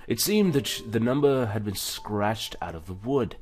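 A man's voice: fairly low pitch, lively intonation, moderate pace, darkish tone. A man hosting a gaming video in a casual, chatty, self-deprecating way, reading text aloud with stumbles. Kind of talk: casual let's-play commentary with reading aloud.

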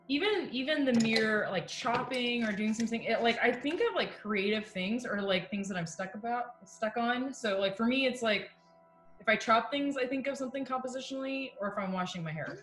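A woman's voice: fairly high pitch, fairly bright tone. A woman in her thirties chatting casually while she cooks at home, talking on a video call with plenty of fillers and asides. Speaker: composer and guest. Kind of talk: casual chat while cooking. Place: home kitchen, indoors. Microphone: video call laptop or webcam. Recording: echoey kitchen, faint music.

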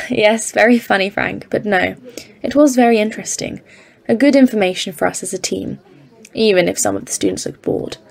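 A woman playing an earnest geologist and expedition leader in an audio drama, speaking in a measured, formal way. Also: mid-range voice, big pitch swings, gravelly. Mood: friendly, composed. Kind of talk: audio drama narration and dialogue.

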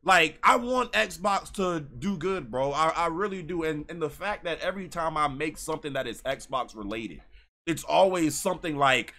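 Animated, high-pitched American man ranting. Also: moderate pace. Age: 30s. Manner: animated, laughing, emphatic, repeats phrases. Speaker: gaming YouTuber. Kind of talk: casual rant to camera. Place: small bedroom, indoors.

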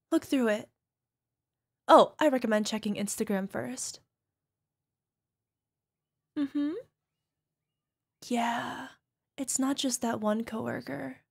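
A woman's voice: fairly high pitch, gravelly, heavily acted. A woman acting as a toxic, gaslighting young girlfriend in a realistic ASMR roleplay, dismissive and exasperated. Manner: exasperated sighs, scornful, halting defensive delivery. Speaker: voice actor.

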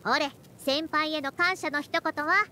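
A woman's voice: sits high, takes it slowly, sharp tone, a little hiss or hum, full character voice. A woman voicing a proud, bossy game character, a youthful-looking century-old scholar with girlish tones and old-fashioned elder speech. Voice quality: girlish voice with elderly turns of phrase. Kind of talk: Japanese anime-style game voice acting.

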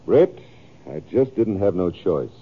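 An American actor playing a stubborn, self-justifying cattle rancher with folksy, rough grammar in a radio western. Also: male voice, low voice, very animated, measured pace, full character voice. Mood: defensive. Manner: folksy, ungrammatical. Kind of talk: 1950s radio western acting. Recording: thin, phone-like old radio recording.

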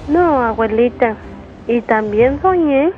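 A woman's voice: on the high side, lively intonation, slow. Woman doing naive young mexican ranch girl, radio soap, whiny n cheeky, bit of music behind, muffled sound. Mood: naive, complaining. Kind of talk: comedic radio soap acting.

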